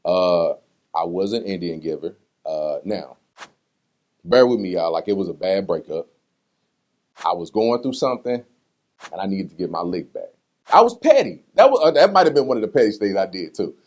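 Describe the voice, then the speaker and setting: Male interview guest, low-pitched voice, slangy and conversational, telling personal stories informally. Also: normal speed. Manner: slangy, conversational, animated retelling with acted-out quotes. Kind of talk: casual candid interview talk answering personal questions.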